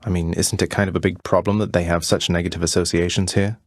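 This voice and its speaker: A young rebel prince of the underworld played by a man speaks in a deep British voice. He is courteous but wry, delivering his game dialogue with casual understatement. The sound is dry, with no room around it. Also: little pitch movement, fast talker, dry recording, close to an everyday voice. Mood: curious, earnest. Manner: dry, understated, conversational politeness. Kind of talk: video game character dialogue.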